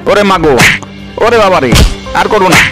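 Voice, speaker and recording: A man voicing an excitable, hapless cartoon animal husband with dramatic, exclaiming delivery. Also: high-pitched, fairly quick, natural voice, low-quality recording. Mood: panicked pleading. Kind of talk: exaggerated cartoon voice acting. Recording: music behind the voice. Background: music.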